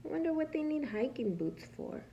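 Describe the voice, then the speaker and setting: A woman preschool teacher reading a picture book aloud to young children in a patient, teacherly way, pausing to ask simple questions. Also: sits high, big pitch swings, dark tone, dull audio, a little hiss or hum.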